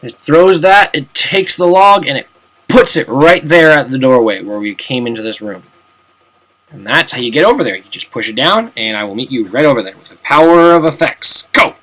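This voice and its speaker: A man's voice: medium pitch, expressive, moderate pace, fairly bright tone, low-quality recording. Chatty young man narrating gameplay. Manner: chatty, self-mocking, jokey asides. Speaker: let's play commentator. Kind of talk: casual gameplay commentary.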